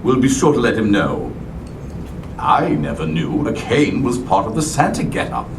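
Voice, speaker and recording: A man's voice: on the low side, wildly swooping pitch, moderate pace, background noise. A man playing a pompous, sarcastic department store personnel manager in a theatrical puppet show. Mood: snide. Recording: echoey, distant recording with loud music.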